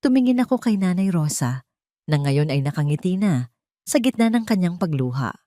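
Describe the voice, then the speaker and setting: Filipina lady reading a dramatic story, kinda low voice, solemn and deliberate, really dry sound. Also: big pitch swings, dark tone, no echo. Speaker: narrator. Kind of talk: dramatic scripted first-person story narration.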